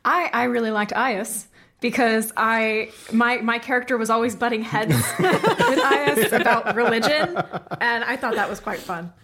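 A woman's voice: fairly high pitch, unhurried, background noise. A woman speaking casually as a cast member in a group chat.

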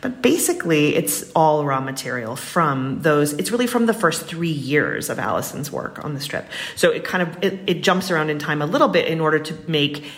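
A woman's voice: deep voice, big pitch swings, normal speed, hardly any pauses. An American woman answering interview questions in a chatty, enthusiastic way with colourful turns of phrase. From Western Massachusetts, United States. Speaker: interview guest, writer. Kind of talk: animated, conversational interview answers.

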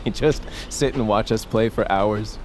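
A man's voice: lowish voice, measured pace, a little mellow, close to an everyday voice. A man acting in an audio drama as a wounded, guarded son set on vengeance, speaking tersely and hesitantly. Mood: reflective. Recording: clear outdoor ambience noise behind.

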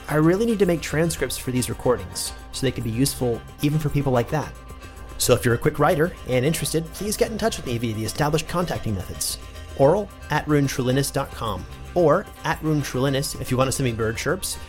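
An actor playing a chatty, thoughtful teacher recording an in-character audio journal for a fantasy drama. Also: male voice, medium pitch, natural voice. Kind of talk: conversational in-character monologue and credits.

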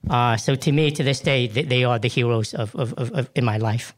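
A man's voice: medium pitch, fairly quick, background noise. A man in his fifties from Vietnam, an actor answering interview questions in an emotional, hesitant way with many restarts. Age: 50s. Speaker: guest actor.

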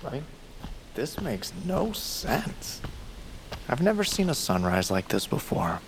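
Audio drama casting: gentle, easygoing male colonist, casual, unhurried, very varied intonation. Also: medium pitch, sing-song, a little hiss or hum, rough recording. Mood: gentle, curious. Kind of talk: naturalistic audio drama acting.

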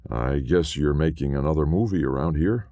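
A man's voice: a bass voice, normal speed, dark tone, dry recording, muffled recording. A man doing a hesitant, weary man. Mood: puzzled. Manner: hesitant, mild.

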